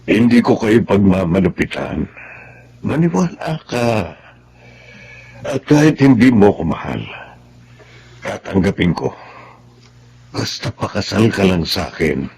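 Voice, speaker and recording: An actor playing an earnest older man pleading for marriage in a Tagalog radio drama, with a husky voice. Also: on the low side, very animated, a little slow, long pauses, a little mellow, heavily acted.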